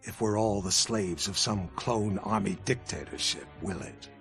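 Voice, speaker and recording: Low-voiced, ominous American intelligence official. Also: male voice, a little slow, gravelly, over music. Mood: grave, ominous. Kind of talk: scripted video game cutscene dialogue.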